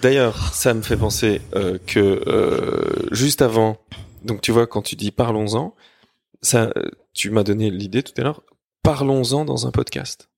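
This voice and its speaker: Man, gravelly fry in the voice, casual podcast talk, teasing delivery. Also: fairly low pitch, a little slow, darkish tone. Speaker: podcast panelist. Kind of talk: casual chat.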